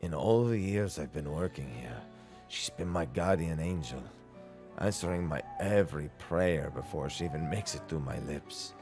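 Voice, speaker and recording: A man voicing a gruff but fond older craftsman in a video game, speaking in measured, formal, earnest phrases. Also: a bass voice, lively intonation, darkish tone, soft background music. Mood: tender, earnest. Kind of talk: video game dialogue read aloud in character.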